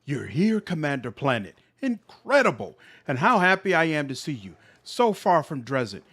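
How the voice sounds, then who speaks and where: A man's voice: on the high side, very animated, moderate pace, a bit bright, voice pushed up, exaggerated voice. Man as a gushing, courtly celestial nobleman. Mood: delighted, admiring. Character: courtly, effusive, admiring male celestial-blooded noble.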